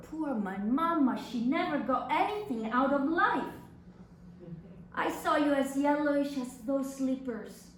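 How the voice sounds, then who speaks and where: Woman acting a slow, high-pitched, incredulous daughter, echoey room. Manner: dramatic, exclaiming, lingering on words with pauses. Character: incredulous grown daughter reading her mother's secret love letters. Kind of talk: theatrical dramatic monologue reading. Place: echoey room at a live public reading. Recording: echoey room from far off, faint noise.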